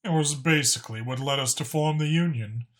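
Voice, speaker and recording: A man's voice: on the high side, a little fast, a bit bright, lightly acted. A man voicing a talkative alien dragon informant who explains things knowingly and with emphasis in an audiobook story. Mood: solemn, explanatory.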